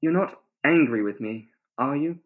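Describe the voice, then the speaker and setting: An actor playing a tender, ardent, pleading lover in a radio play, earnest and romantic in delivery. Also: male voice, medium pitch, lively intonation, normal speed, lightly acted. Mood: earnest, gentle. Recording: thin phone-like sound.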